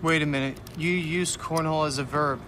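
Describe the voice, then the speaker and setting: Man playing nerdy literal young hacker, higher male voice, pedantic, awkward, noisy game scene. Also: fairly even intonation, brisk, close to an everyday voice. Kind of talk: video game cutscene acting.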